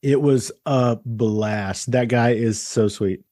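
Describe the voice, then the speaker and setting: A man's voice: medium pitch, takes it slowly, warm tone, no echo, studio quality. A man hosting a podcast, chatting in a friendly way and laughing often. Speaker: podcast host. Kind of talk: casual interview chat.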